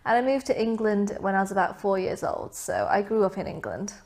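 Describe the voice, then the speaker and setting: A woman's voice: mid-range voice, expressive, brisk, darkish tone. A young woman from Vietnam, raised abroad, answering interview questions in a chatty, casual way with many fillers and asides.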